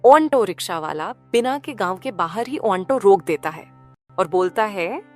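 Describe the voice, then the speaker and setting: A female storyteller delivers scripted narration for a cartoon tale. Her voice sits at an average pitch, with faint music playing behind it. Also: animated, measured pace.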